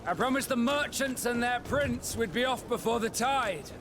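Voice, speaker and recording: A man playing a formal, stern middle-aged ship's captain with a measured, commanding way of speaking in a video game. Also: extremely high pitch, background noise. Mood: barking orders. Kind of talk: video game dialogue acting.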